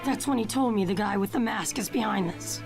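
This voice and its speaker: A woman voicing a brash, headstrong teenage boy ninja in a game cutscene, loud and stubborn. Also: mid-range voice, a little fast, vocal fry, music in the background, natural voice. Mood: determined. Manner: loud, stubborn, urgent pleading. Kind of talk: game cutscene English dub.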